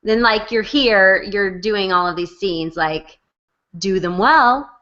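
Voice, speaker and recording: A woman's voice: medium pitch, slow pace, sharp tone. A woman co-hosting a casual podcast over a video call, giving blunt, emphatic opinions. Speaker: podcast co-host, recap writer. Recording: dull lo-fi video call audio.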